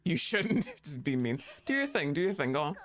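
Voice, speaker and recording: Low-voiced, lively woman streamer. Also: moderate pace. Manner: laughing, swearing casual reactions. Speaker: streamer and voice actor. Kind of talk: live game stream commentary, reading game dialogue aloud.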